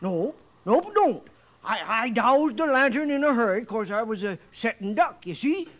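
Radio western stable owner: very high pitch, lively swings, Western accent, garrulous, folksy, rambling. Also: male voice, normal speed. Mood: excited, spooked. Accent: American Western. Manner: rambling, hesitant, chuckling folksy storyteller. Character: folksy, garrulous, excitable livery stable owner in old West. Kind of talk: old-time radio western acting.

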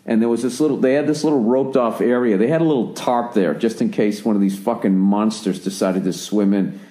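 A profane, rambling American man in his forties hosts a podcast, swearing through a long story. His voice sits dark and mellow. The recording sounds roomy and echoey. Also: lowish voice, fairly quick. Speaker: podcast host. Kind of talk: casual podcast chat.

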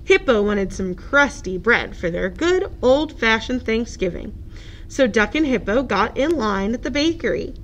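A woman's voice: fairly high pitch, sing-song, measured pace, fairly bright tone, a little hiss or hum. A woman narrating a children's picture book expressively, as a librarian at story time.

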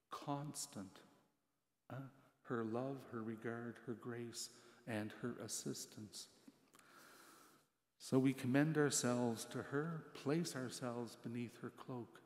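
A man in his sixties, a priest preaching a homily, pausing with emphasis between listed words. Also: mid-range voice, a little mellow. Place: large church, at the ambo. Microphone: gooseneck pulpit mic. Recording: echoey church with long reverb.

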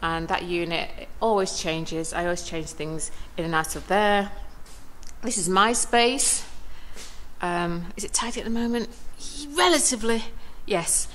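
A woman's voice: lowish voice, a little slow, a little hiss or hum, low-quality recording. Chatty woman touring her shop. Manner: chatty and relaxed, with small laughs. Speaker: shop owner giving a tour. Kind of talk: casual walking tour vlog. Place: small shop and café, indoors and on the pavement outside. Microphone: handheld phone camera.

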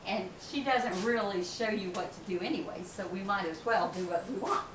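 A woman's voice: mid-range voice, moderate pace. An older woman helping in a home kitchen, teasing her friend and asking how much of each thing to use. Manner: teasing, questioning. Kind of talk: casual kitchen chat. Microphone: camera across the room. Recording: echoey kitchen, camera far off, noisy.

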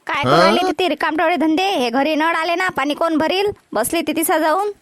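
A woman's voice: very high-pitched, brisk, no echo, clean studio sound. A woman playing a bossy, scornful village wife in a comedy cartoon, scolding in a Vidarbha Marathi accent. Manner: scolding, ordering around.

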